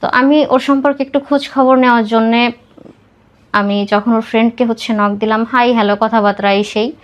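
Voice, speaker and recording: A woman's voice: fairly high pitch, fairly even intonation, fairly quick, muffled recording. A woman with a Bangladeshi accent telling a personal life story as a podcast guest, dropping English words into her talk.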